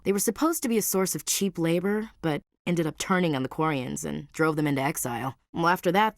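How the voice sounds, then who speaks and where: A woman's voice: deep voice, no room sound, studio quality. An actress playing a tough, blunt young female marine in a video game, speaking in a direct, clipped soldierly manner. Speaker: game character.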